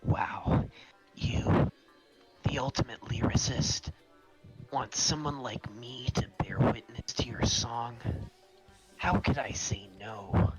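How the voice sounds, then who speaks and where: A man playing a soft-spoken, self-deprecating young man with a gentle, teasing, admiring manner in a fan fiction roleplay. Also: mid-range voice, slow, in a whisper, soft background music, lightly acted, low-quality recording. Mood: awed, eager.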